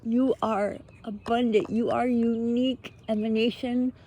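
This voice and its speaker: A woman giving an earnest, unscripted spiritual pep talk in short, halting phrases, often posing rhetorical questions. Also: fairly high pitch, flat delivery, warm tone. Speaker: motivational speaker, vlogger.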